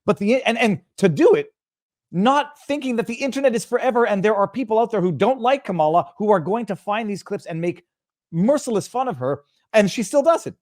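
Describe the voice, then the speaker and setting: A man's voice: high voice, lively intonation, brisk, a little mellow, dry recording. Indignant man from Montreal, dry sound. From Montreal, Canada. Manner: emphatic, stumbles and restarts often. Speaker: guest commentator. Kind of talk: indignant political commentary.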